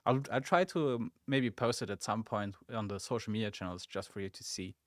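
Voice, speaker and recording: A young man who grew up in Germany and speaks German as his first language. He hosts a studio livestream in a relaxed, chatty way, reading viewer comments aloud with many fillers and asides. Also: lowish voice, brisk, dry recording. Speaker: social media community manager and stream host. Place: game studio office.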